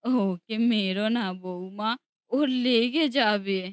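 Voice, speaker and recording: A woman voicing a gentle, loving old grandmother in a cartoon, speaking softly and pleading. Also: medium pitch, slow pace, clean tone, dry recording, studio quality, lightly acted. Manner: soft, pleading.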